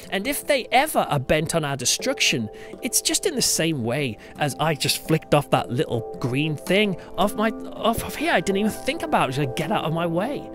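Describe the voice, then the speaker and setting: Male podcast host, very lively intonation, emphatic and reflective, conversational monologue with light music underneath. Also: a little high. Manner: animated, emphatic, reflective storytelling delivery. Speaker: podcast host and church pastor.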